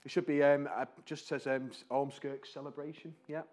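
A man speaks to a church congregation on behalf of a charity, friendly and chatty. He has a fairly high voice for a man, stumbles over words and restarts now and then, and keeps asking the room questions. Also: level tone. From North West England. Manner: warm-hearted, chatty, asks the audience questions; fillers and restarts, pauses while slides change.

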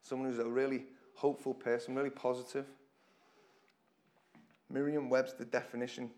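A man with a hoarse, croaky voice preaching an informal church sermon to a congregation, talking in a chatty way and often repeating his words. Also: medium pitch, level tone, normal speed, a little mellow. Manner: conversational, self-deprecating, repeats phrases.

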